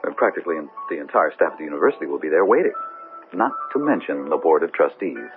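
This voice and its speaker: A man's voice: extremely low pitch, animated, quick pace. A man playing an anxious, ambitious scientist in a 1970s radio drama, hesitant and coaxing. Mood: impatient, apologetic. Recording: lo-fi 1970s radio broadcast, music behind.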